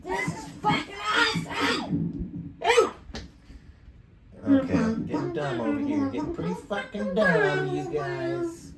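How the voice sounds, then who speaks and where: A tiny, goofy, foul-mouthed creature of a tabletop game, voiced by a man, pushed absurdly high by a pitch shifter. It sounds artificial and squeaky. The recording is distant, with noise behind it. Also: lively intonation, slow, long pauses, exaggerated voice. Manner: goofy, profane. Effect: extreme pitch shifter upward. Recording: roomy, distant mic, background noise.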